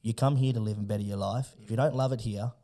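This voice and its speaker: A man's voice: lowish voice, fairly even intonation, fast talker, warm tone. A man with an Australian accent giving blunt, emphatic opinions in casual podcast talk, swearing heavily.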